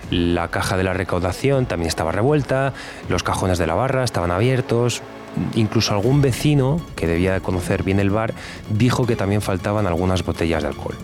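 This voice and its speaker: A man's voice: deep voice, a little fast, lightly acted. A man plays a small-town civil guard, telling of his cold case as interview testimony in an audio drama. He is reflective and conversational, hesitating as he goes, with faint music underneath. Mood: reflective, puzzled. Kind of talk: documentary-style interview testimony.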